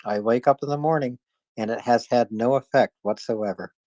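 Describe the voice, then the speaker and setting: Halting man hosting, close dry sound. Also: medium pitch, darkish tone, dry recording. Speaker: host of a live audio discussion space. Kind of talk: casual announcing, chatting with guests. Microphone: live online audio chat.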